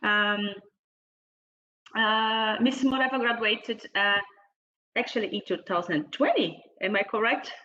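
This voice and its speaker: A woman moderating an online panel, introducing a speaker formally in a halting, hesitant way, partly reading from notes. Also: a little high, pushed and bright, dull audio. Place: online video meeting.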